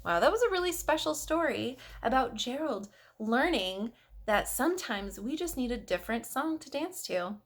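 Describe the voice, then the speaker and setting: A woman reading a picture book aloud to children, expressive, with questions and asides to the listener. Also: fairly high pitch, moderate pace. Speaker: storyteller for children.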